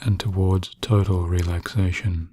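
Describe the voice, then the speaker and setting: A man narrating guided sleep hypnosis as a hypnotist, in a soothing, measured way with long pauses. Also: low-pitched, level tone, warm tone.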